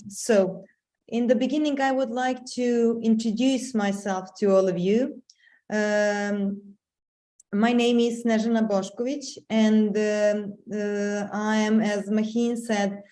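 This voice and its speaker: Slow, hesitant middle-aged woman mentor. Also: medium pitch, dark tone. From Serbia. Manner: slow, hesitant with many fillers. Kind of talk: webinar presentation. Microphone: video call.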